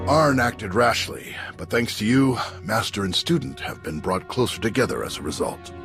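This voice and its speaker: An actor playing a composed, courteous Jedi master in a video game cutscene, measured and thoughtful, with a gravelly voice. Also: male voice, on the low side. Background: music.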